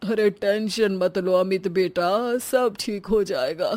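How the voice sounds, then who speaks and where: A woman's voice: mid-range voice, slow, darkish tone, no room sound, lightly acted. Older cartoon father, acted by a woman, mild, agreeable, deferential manner. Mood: reassuring.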